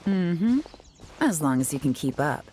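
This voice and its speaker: A woman's voice: low-pitched, sing-song, a little slow, dark tone, a little hiss or hum. A woman voicing a composed, confident investigator and expert tracker in a video game, with assured, lightly teasing delivery. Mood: analytical, focused. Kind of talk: scripted video game dialogue. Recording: game music behind the voice.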